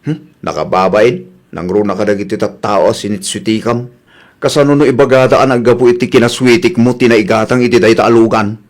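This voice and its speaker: A man's voice: fairly low pitch, fairly even intonation, brisk, close to an everyday voice. Man acting a grumbling, reluctant Ilocano husband. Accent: Ilocano Filipino. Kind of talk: Ilocano comedy radio drama acting.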